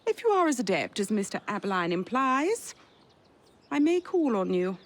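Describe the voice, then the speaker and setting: A woman's voice: medium pitch, big pitch swings, moderate pace. A woman playing an imperious elderly queen in a video game, speaking formally and with command. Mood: measured, gracious. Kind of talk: video game cutscene acting.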